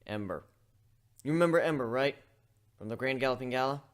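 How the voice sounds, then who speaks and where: A man's voice: mid-range voice, a little fast, clean tone, close to an everyday voice. A man voicing a young male griffin in human form in a dramatic reading, blunt, offhand and wry. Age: young adult. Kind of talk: dramatic fan-fiction reading.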